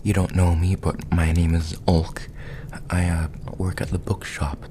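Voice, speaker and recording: A man playing a polite, nosy bookshop delivery man with an ominous edge, in a casual, slightly halting ASMR roleplay style. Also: sits low, flat delivery. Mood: polite, curious. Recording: clearly noisy background.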